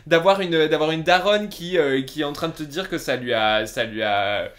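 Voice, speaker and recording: A man's voice: on the high side, normal speed, a bit bright. A man in his thirties co-hosting a podcast in a casual chat, telling anecdotes and dropping English words into his French. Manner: chatty storyteller who drops English words into his French. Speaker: podcast co-host.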